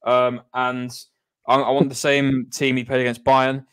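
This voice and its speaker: A man guest signing off a football chat, quick and matter-of-fact. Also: medium pitch, little pitch movement, clean tone, no echo. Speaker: podcast guest.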